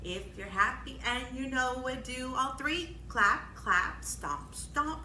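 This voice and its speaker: Female children's storytime host: very lively intonation, unhurried delivery, encouraging questions, recorded in an echoey room. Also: sits high. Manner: exclamatory, encouraging, prompting listeners with questions. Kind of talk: children's storytime with action songs and guessing games. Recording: echoey room, faint background noise.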